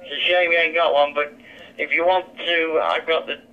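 A man's voice: a little high, fairly even intonation, normal speed. A man chatting bluntly and informally as a radio ham, heard through a digital radio transmission. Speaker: amateur radio operator. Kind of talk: casual two-way radio chat. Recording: dull digital handheld radio speaker.

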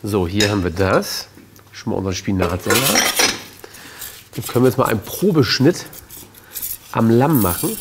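A man's voice: lowish voice, normal speed, pauses often, background noise. A man in his thirties hosting a cooking show, chatting casually with a guest and talking straight to viewers, on a lapel mic in a studio kitchen. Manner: chatty, lively, addresses viewers directly. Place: studio kitchen set, indoors.

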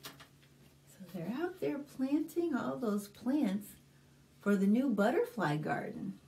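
An American woman reads to young children as a storytime host, with a fairly high voice that swings widely in pitch. She speaks very slowly and deliberately, expressively pointing things out in simple words. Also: very animated, gravelly, mellow. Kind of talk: reading a picture book aloud to young children. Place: small indoor room, plain backdrop.

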